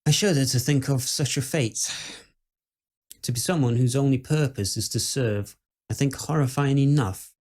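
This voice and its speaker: A man playing a skeptical, uneasy Victorian gentleman who tells ghost stories in a formal, measured, old-fashioned way. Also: mid-range voice, close to an everyday voice. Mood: solemn, dread. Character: dread-filled, skeptical Victorian gentleman recounting house ghosts. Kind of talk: first-person dramatic ghost-story monologue.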